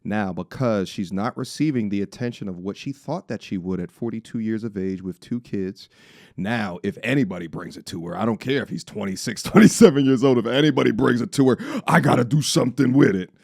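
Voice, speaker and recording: Deep-voiced man delivering emphatic commentary. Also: fairly quick, vocal fry, darkish tone, dry recording, studio quality. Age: 40s. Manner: emphatic, preachy, rhetorical questions. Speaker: commentator reacting to clips. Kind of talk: opinionated reaction commentary.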